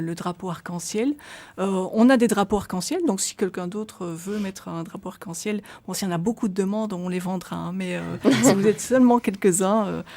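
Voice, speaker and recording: Middle-aged woman, gravelly fry, halting with lots of fillers. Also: medium pitch, warm tone. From Belgium. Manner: hesitant, many fillers and restarts. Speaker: association volunteer guest. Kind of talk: radio interview, explaining.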